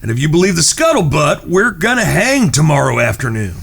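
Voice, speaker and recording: An actor playing a cool, wry outlaw leader with polite, understated menace in a western audio drama. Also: male voice, fairly high pitch, sing-song, brisk, creaky, fairly bright tone, slightly rough, heavily acted. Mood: wry, quietly menacing.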